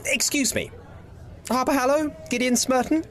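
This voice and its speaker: A man's voice: very high-pitched, steady tone, normal speed, a bit bright. A man playing a pompous, rivalrous senior law associate with theatrically formal delivery in an audio comedy drama. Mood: pompous, courteous.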